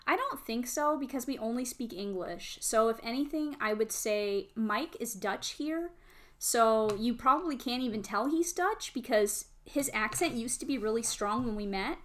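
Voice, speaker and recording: A woman's voice: fairly high pitch, animated, normal speed, fairly bright tone. Chatty Midwestern American woman. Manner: chatty, easygoing, thinks aloud. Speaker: channel host. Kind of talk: casual chat while building. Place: home, indoors. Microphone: table microphone.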